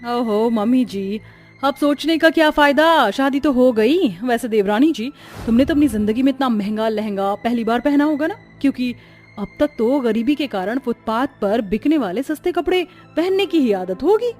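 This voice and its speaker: A woman's voice: a little high, expressive, moderate pace, darkish tone, faint music behind, natural voice. A woman voicing a haughty, rich young sister-in-law in a cartoon story, sneering and condescending in manner. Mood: mocking. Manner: mocking, condescending. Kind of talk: Hindi cartoon story acting.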